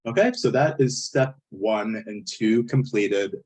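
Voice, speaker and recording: A man with a hoarse, failing voice who often clears his throat, walking step by step through a statistics software tutorial over a screen recording. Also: lowish voice, slow. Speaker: statistics instructor. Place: indoors at a computer, voice-over on screen capture.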